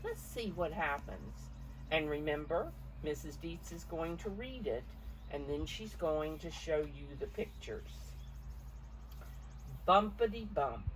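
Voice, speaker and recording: Low-voiced older woman reading slowly to preschoolers. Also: expressive. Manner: simple explanations, questions to the listeners, emphatic. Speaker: children's storybook reader and teacher. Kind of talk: picture-book read-aloud for preschoolers, talking to the children. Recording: distant mic with faint background noise.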